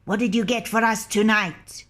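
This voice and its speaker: A woman's voice: mid-range voice, steady tone, moderate pace, a bit bright, lightly acted. A woman voicing a worried wife asking anxious questions.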